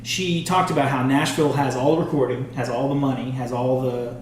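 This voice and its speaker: A man's voice: mid-range voice, steady tone, brisk, slight background noise. A man hosting a casual music podcast, chatting in an enthusiastic, slangy and rambling way. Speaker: podcast host. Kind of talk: casual interview chat. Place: echoey studio room. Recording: roomy, distant mic, voices in background.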